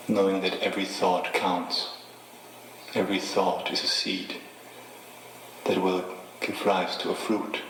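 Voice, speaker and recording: A man leading a guided meditation as a teacher, speaking in an unhurried, gently instructing way with pauses. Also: low-pitched. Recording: echoey reverberant room with faint noise.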